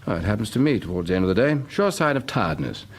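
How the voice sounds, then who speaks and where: A British man acting as a sensible, brisk doctor in a radio drama, talking in an animated, somewhat patronising way. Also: fairly low pitch, slightly rough. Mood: breezy, reassuring. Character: sensible, brisk English male doctor recounting and reassuring.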